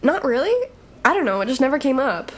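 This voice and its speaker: Animated, high-voiced brash young thief played by a woman. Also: brisk. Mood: unsure. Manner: breezy, sardonic, slangy banter with laughs. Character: brash, sarcastic young shape-shifting female thief, college student. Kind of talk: audio drama dialogue, casual and conversational.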